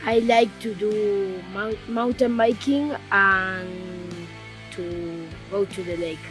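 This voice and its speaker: A woman's voice: medium pitch, steady tone, slow pace. A woman from Buenos Aires with an Argentine accent, a polo player speaking casually in an interview. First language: Spanish. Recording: music behind the voice.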